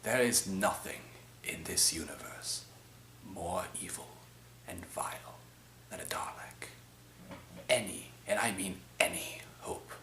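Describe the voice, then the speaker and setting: A man's voice: sits low, creaky, heavily acted. A man playing an anguished, vengeful time-travelling alien war survivor in an improvised fan-film monologue, with an intense delivery. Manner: intense, swinging from hissed whispers to shouts. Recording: roomy, slightly distant microphone.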